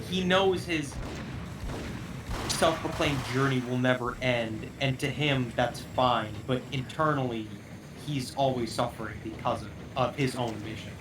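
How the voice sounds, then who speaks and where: A man's voice: mid-range voice, unhurried. A man chatting casually and jokily as a guest on a game stream over a voice call. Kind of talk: casual co-commentary chat. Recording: distant mic, clear background noise.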